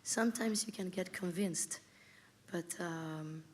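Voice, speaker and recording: A woman's voice: lowish voice, slow, darkish tone, a little hiss or hum. A woman producer who grew up in Spain, answering a panel question in a thoughtful, hesitant way with many fillers. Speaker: film producer.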